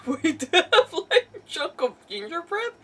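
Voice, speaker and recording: A woman's voice: very high voice, very animated, slow pace, a bit bright, far from the mic. A woman livestreaming a video game, chatting casually in a rambling, emphatic way and often repeating words. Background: faint game music.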